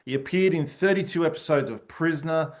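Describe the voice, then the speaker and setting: A man's voice: on the high side, a little fast. A man hosting an interview podcast, opening with a read introduction and then asking friendly, keen questions. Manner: enthusiastic, with a scripted introduction. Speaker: podcast host.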